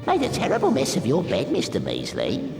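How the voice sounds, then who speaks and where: An actor playing a taunting schoolboy bully in a video game, mocking and drawling. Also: male voice, mid-range voice, wildly swooping pitch, brisk, roomy sound, big-room sound, far from the mic, music in the background. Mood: sneering, mocking.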